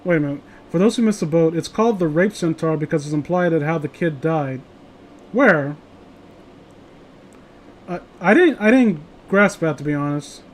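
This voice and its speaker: High-pitched man, casual host, background noise. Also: animated, moderate pace, pauses often. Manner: rambling, foul-mouthed, joking. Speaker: host of a horror story reading show. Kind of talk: casual chat and commentary on stories. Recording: noisy home recording, clear background hiss.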